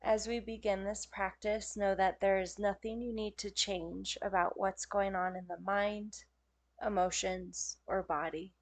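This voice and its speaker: A woman leading a guided yoga nidra meditation as a teacher, speaking in gentle, soothing, unhurried phrases with pauses. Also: medium pitch, flat delivery.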